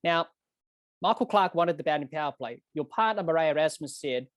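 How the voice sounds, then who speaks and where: A man's voice: a little high, expressive, fairly quick, no echo. A man hosts a podcast and works through prepared questions. He is earnest and slightly formal, and he sometimes corrects himself mid-sentence. The recording is close and very dry. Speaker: podcast host. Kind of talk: interviewing, reading prepared questions.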